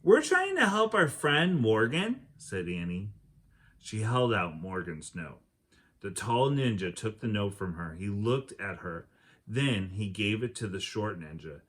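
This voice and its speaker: Low-voiced man reading a children's book aloud. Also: unhurried. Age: adult. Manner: expressive, acts out dialogue.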